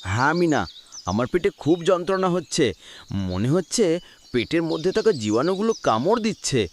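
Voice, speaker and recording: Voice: mid-range voice, very animated, normal speed, slight background noise, close to an everyday voice. An actor playing a young schoolboy in a children's cartoon. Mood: in pain. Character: cheeky careless schoolboy, later whining with bellyache.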